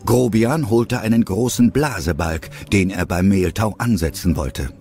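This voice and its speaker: A male narrator reads a children's audio story in a fairly low voice. Music plays clearly behind him. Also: measured pace, darkish tone.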